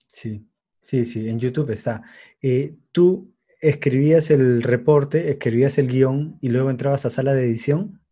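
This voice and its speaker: A man with a mellow, medium-pitched voice. He works as an interviewer, putting questions conversationally and in a measured, slightly halting way. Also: husky.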